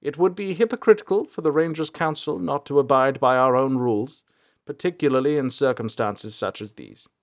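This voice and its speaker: A stern elder of a fantasy rangers' council, performed by a man, pitched well up. The delivery is formal and deliberate, with measured authority suited to an audiobook of high drama. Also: lightly acted. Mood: measured concession.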